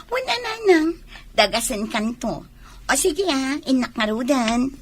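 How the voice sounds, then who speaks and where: A woman's voice: high voice, big pitch swings, slow, slight background noise, full character voice. A woman playing a scheming, money-minded younger woman in a radio drama, with drawling, exaggerated intonation. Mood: coaxing, sly.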